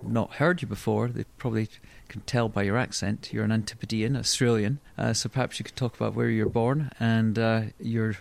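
A man hosting a podcast interview, asking questions in a measured, polite way. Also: fairly low pitch, steady tone, gravelly, darkish tone. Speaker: podcast host.

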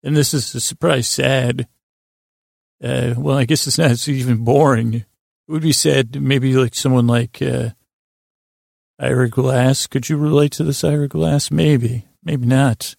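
A man hosting a sleep podcast with a meandering, whimsical monologue, halting often with stammers, repeats and long pauses. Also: mid-range voice, fairly even intonation, gravelly, no room sound, studio quality. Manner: gently whimsical, wandering off on tangents. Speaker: podcast host. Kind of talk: rambling bedtime monologue to lull listeners to sleep.

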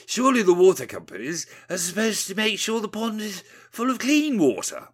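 A man's voice: high voice, wildly swooping pitch, normal speed, breathy edge, pitched above the performer's own voice, heavily acted. A man voicing an indignant, boozy, downtrodden village miller with a blurry, plaintive way of talking in a satirical storybook parody. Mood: boozy puzzlement.

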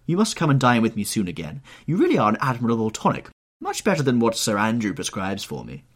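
Audiobook: male reader doing a fussy elderly hostess, raised and animated, a touch husky. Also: mid-range voice, fast talker, voice pushed up. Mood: gushing. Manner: gushing, bossy, matchmaking.

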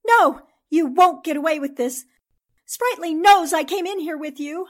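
A woman's voice: very high-pitched, unhurried. A woman playing a plucky, determined newspaper reporter in a 1940s-style radio drama, with an earnest, dramatic delivery. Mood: defiant.

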